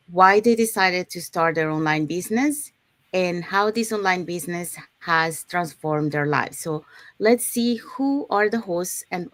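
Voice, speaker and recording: A woman's voice: fairly low pitch, dry recording. A woman from Panama hosting a live online talk, presenting to her audience. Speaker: host of an online business talk show.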